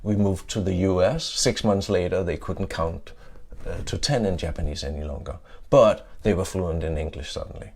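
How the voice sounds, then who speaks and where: A middle-aged man with a Danish accent giving a conference talk. He often checks in with a questioning "yeah?" and pauses on "uh" fillers. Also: deep voice, darkish tone. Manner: adds "yeah?" to check in with listeners; frequent "uh" fillers and restarts. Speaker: conference speaker. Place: conference stage.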